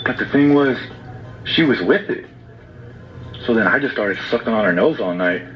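A man's voice: medium pitch, animated, moderate pace. A young man pranking with a staged phone call, talking in a brazen, casual, slangy way. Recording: dull lo-fi playback with music behind. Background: music.

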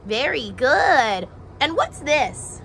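A young woman with a high, very lively voice teaches as she reads a picture book to children. She is expressive and encouraging, speaking slowly and stressing key words. There is faint outdoor noise behind her. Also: creaky. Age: young adult. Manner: expressive, asks questions and pauses for answers; encouraging, with exaggerated gasps and emphasis. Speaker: teacher reading aloud to children. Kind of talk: animated children's picture book read-aloud. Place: outdoors on a house porch.

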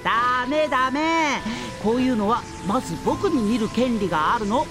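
A playful young male schemer from an anime game, voiced by a woman, pitched high and swinging freely up and down. He shows off with smug, teasing, sing-song delivery, like a mad scientist pleased with himself. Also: expressive, normal speed, music in the background, close to an everyday voice. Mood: playful, boastful.

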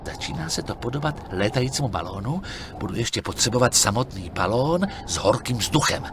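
A man's voice: mid-range voice, lively intonation, noisy background, close to an everyday voice. A man voicing a curious young monk adventurer. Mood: musing. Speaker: game protagonist. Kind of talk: adventure game dialogue. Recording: game audio with loud music.

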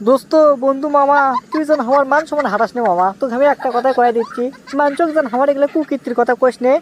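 A man voicing an excitable, scheming young village man in a comic cartoon, with a Bogura accent from northern Bangladesh and an exclamatory, wheedling manner. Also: very high voice, rapid-fire, a little hiss or hum. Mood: pleading. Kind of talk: comic cartoon dialogue in rural dialect.

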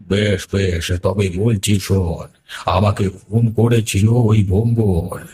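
A man voicing a menacing, vengeful boatman's ghost in a horror cartoon, speaking in slow, drawn-out threats. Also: lowish voice, fairly even intonation, warm tone. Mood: grave. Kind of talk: animated horror story acting.

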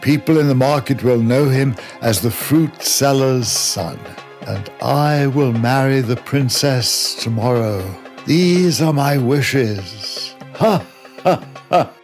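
A man's voice: mid-range voice, slow pace, a little mellow, faint music behind. A man voicing a scheming, gloating villainous magician in a children's cartoon, theatrical in manner. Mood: gloating, commanding. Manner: theatrical, evil laughs.

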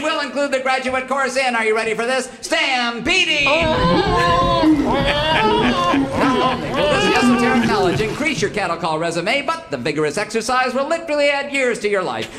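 Brash hard-sell radio huckster, very high, swooping delivery, echoey room. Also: male voice, expressive, moderate pace. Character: brash, fast-patter Hollywood mail-order school pitchman. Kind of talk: parody radio commercial. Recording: echoey stage, faint noise.

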